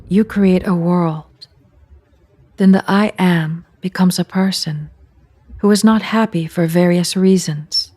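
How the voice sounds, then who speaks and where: A woman leading a guided meditation, speaking in measured, deliberate phrases with soft endings and pauses. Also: on the low side. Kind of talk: guided meditation, slow spoken readings with long pauses. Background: meditation music at beginning and end.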